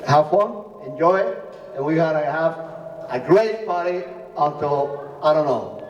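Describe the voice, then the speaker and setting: A middle-aged man hosting a wedding party and thanking everyone, speaking haltingly as he searches for names. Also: a little high, expressive, muffled recording, very noisy. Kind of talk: thank-you speech. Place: large ballroom. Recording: echoey ballroom from far off.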